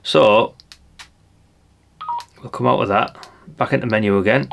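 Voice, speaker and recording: Low-voiced, gravelly older man. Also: measured pace, background noise. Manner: chatty, unscripted, thinking aloud with tag questions. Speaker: presenter of a radio gadget review channel. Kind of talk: casual hands-on product demonstration.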